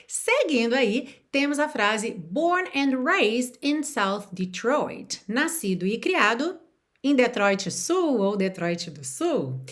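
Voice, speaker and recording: A woman teaching an online lesson in a fairly high, very animated voice. She speaks straight to the viewer in an encouraging way. She slows down to model each example phrase and then repeats it. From Rio de Janeiro, Brazil. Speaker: language teacher.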